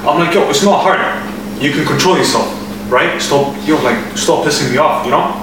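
A man rants bluntly on a gym podcast, slangy and joking. His voice sits a little high. He sounds distant from the mic in a very echoey room with steady background noise. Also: a little fast. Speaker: podcast co-host. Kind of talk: casual gym podcast chat.

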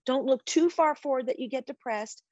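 A middle-aged woman speaks as a guest in a faith-based podcast interview, with a passionate, preacher-like delivery. Her voice sits a little high. The sound is dry and close, with no room around it. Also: moderate pace, a little mellow, no echo, dull audio. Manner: passionate, preacher-like, quotes scripture.

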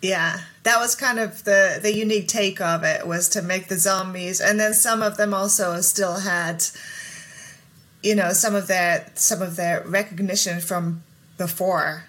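Bright-voiced chatty woman guest. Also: medium pitch, fairly even intonation, measured pace. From Germany. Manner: friendly, chatty, with frequent small laughs. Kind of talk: casual interview chat.

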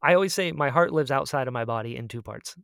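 A woman's voice: very deep voice, quick pace, gravelly, no room sound, clean studio sound. A woman podcast host chatting casually, playful and self-deprecating in her banter.

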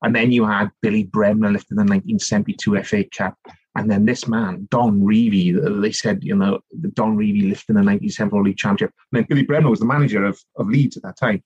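Welsh man, middle-aged, low husky voice, dry dull lo-fi audio, animated and rambling. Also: fast, dry recording. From South Wales. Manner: animated storytelling, rambling with many restarts and "you know". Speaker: guest, author and politician. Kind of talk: conversational podcast interview.

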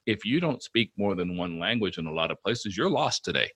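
A man in his fifties co-hosting a relaxed chat, his voice low and bright-edged. He rambles through stories, doubling back on his words. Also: brisk, slightly rough. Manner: rambling, storytelling, many restarts. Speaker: podcast co-host. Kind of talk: casual interview chat.

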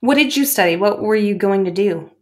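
Middle-aged woman host, super enthusiastic, gushes over her guest, mid pitch. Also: expressive, a little fast. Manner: enthusiastic, effusive. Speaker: podcast host. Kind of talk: interview conversation.

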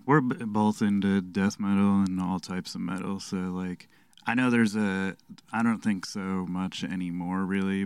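A man's voice: deep voice, clean tone, dry recording. A man in his thirties talking casually as a guest on a podcast. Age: 30s. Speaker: band guitarist guest.

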